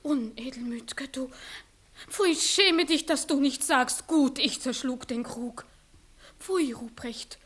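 A proud young country maiden speaks in a very high voice. She pleads and reproaches with impassioned, declamatory phrasing in the manner of 1960s radio theatre. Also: slow, fairly bright tone, breathy edge, exaggerated voice. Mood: wounded reproach. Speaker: actress in a radio play. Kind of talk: 1960s German-language classical radio acting.

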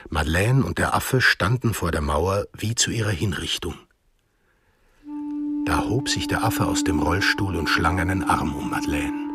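An actor playing the narrator of a radio drama, telling a thriller in a measured, literary way. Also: male voice, deep voice. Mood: grave, tense. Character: measured, observant male storyteller of a thriller. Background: music.

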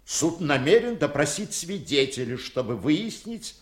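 Строгого судьи, гулкое помещение. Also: male voice, a little high, big pitch swings, unhurried. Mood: stern. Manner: formal, authoritative courtroom delivery. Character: stern, irritable male judge, formal and commanding. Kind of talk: Soviet radio play acting. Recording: roomy, echoing radio drama recording.

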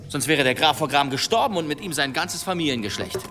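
An actor playing a boastful monster hunter telling tales in an archaic, theatrical manner in an audio drama. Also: male voice, on the high side, expressive, noisy background, natural voice. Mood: boastful, storytelling. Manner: theatrical, dramatic pauses, savouring his tale. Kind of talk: German audio drama storytelling, archaic wording.

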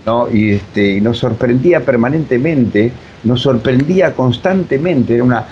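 A mature man with an Argentine accent explaining reflectively as an interview guest, with emphatic repetition and deliberate pauses. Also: mid-range voice, lively intonation. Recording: dull lo-fi sound, faint noise.

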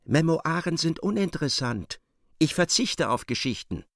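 Creaky, swooping scornful reciter. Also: male voice, fairly high pitch, sing-song, moderate pace, fairly bright tone, no echo, studio quality. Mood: curt, dismissive. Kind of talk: radio drama acting, recited verse and narration.

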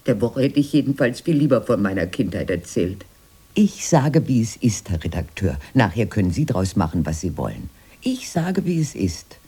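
An actress playing an aggrieved aging fairy-tale sister in a radio drama, with a husky, rough voice and a plaintive, insistent manner. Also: female voice, a bass voice, sing-song, moderate pace, dark tone, heavily acted. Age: older.

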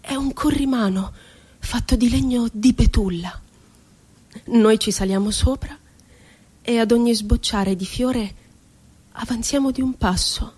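Stage drama: impassioned rebel dreamer woman, higher-pitched voice, declamatory, deliberate emphatic delivery. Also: a little mellow, husky. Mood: tender, dreamy. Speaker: stage actress. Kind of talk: theatrical dramatic monologue.

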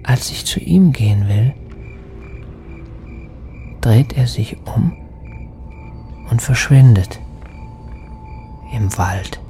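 An actor voicing a sorrowful, bewildered lost soul in a poetic radio drama, speaking in hushed, halting fragments and often whispering. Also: medium pitch, animated, faint music behind. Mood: wistful, desolate.

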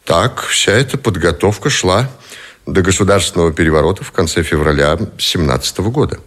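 An actor playing a captive middle-aged admiral who gives formal, measured, dignified testimony in a radio play. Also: male voice, low-pitched, lively intonation, fairly bright tone, full character voice. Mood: formal, composed.

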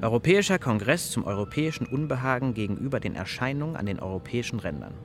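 Young guy in a radio play, ironic, questioning everything, flippant, clear music bed behind him. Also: mid-range voice, big pitch swings, quick pace. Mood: flippant, musing. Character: sceptical, flippant young man, needling his partner with questions. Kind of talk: German radio play dialogue.

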